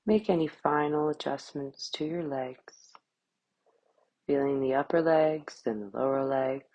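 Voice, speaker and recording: A woman guiding a yoga nidra relaxation in a calm, soothing manner, with short, spaced phrases. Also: low voice, measured pace, dull audio. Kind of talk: guided relaxation meditation.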